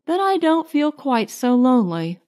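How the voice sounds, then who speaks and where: A woman playing a friendly, lonely young city woman with a soft, quiet, wistful voice in a short story. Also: high-pitched, very animated, normal speed, pitched above the performer's own voice.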